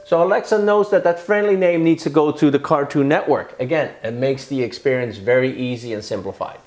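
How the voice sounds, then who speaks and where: A man in middle age explains a product demonstration step by step. His voice is somewhat high for a man. The sound is clearly echoey, as in a living room. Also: a little fast, darkish tone, soft background music. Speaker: product demo presenter.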